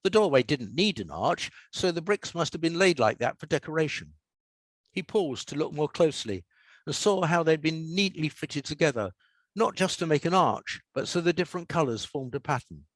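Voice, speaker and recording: An older man with an English accent, talking reflectively and reading aloud as an author in a measured way. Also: on the high side, lively intonation, dry recording. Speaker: author and radio scriptwriter. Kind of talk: relaxed talk and reading aloud from own story.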